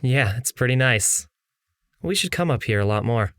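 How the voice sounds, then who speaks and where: An actor playing an eager, playful young male gamer swordsman in an audiobook, casual and excitable. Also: medium pitch, moderate pace, clean tone, dry recording, studio quality, natural voice. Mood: agreeable.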